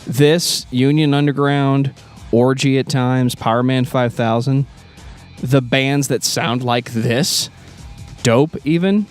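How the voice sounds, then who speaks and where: A man with a medium-pitched voice speaks casually as a podcast co-host. He has a wry way of talking, with faint music behind him. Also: fairly even intonation, slow, clear, resonant tone.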